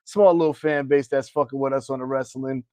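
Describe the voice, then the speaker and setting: A man's voice: mid-range voice, steady tone, brisk, dark tone, no room sound. A man co-hosting a casual wrestling podcast, talking bluntly with heavy slang and constant cursing. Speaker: podcast co-host. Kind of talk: casual profane chat about pro wrestling.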